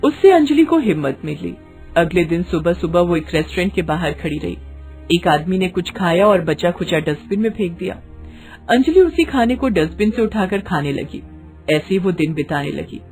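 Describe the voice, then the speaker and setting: A woman's voice: lowish voice, sing-song, normal speed, a little mellow, faint music behind. A woman narrating a scripted bedtime story in a dramatic storytelling style.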